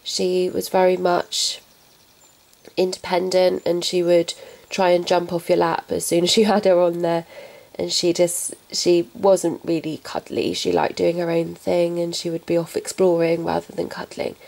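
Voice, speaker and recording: Young woman, getting over a cold, soft halting talk, gravelly, mellow tone. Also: fairly low pitch, low-quality recording. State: recovering from a cold. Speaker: pet vlogger. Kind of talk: casual talk to camera.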